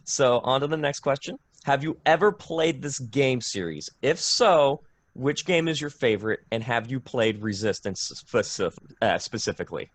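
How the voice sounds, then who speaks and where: A man's voice: medium pitch, expressive, normal speed, hardly any pauses, no room sound. Casting: male interviewer, mid-range voice, informal and a little hesitant, recorded dry. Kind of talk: video game interview.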